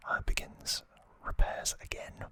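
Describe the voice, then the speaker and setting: Slow, bright whispering man. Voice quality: breathy, unvoiced whisper throughout. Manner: soft, unhurried, halting delivery with pauses. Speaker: ASMR host. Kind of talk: whispered ASMR story recap.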